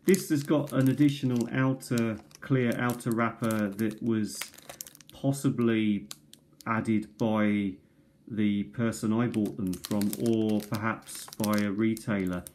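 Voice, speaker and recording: A man casually showing his cassette tape collection at a kitchen table, recorded on a tablet, pausing often with ums and restarts. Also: lowish voice, fairly even intonation, a little mellow, slight background noise. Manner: hesitant, many ums and restarts. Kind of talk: casual show-and-tell. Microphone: tablet camera mic.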